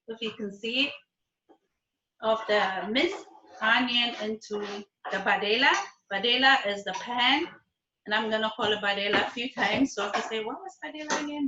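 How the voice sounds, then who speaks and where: A woman's voice: on the high side, pushed and bright, echoey room, lo-fi sound, slight background noise. A woman from Ethiopia and Eritrea teaching a live online cooking class from her kitchen, friendly and patient, often checking in with her students. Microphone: video call.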